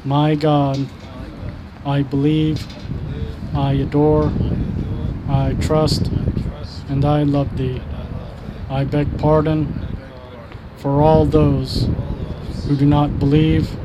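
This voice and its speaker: Man, prayer leader, monotone, fairly high voice, solemn short phrases, noticeable outdoor traffic noise. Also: extremely slow, pauses often.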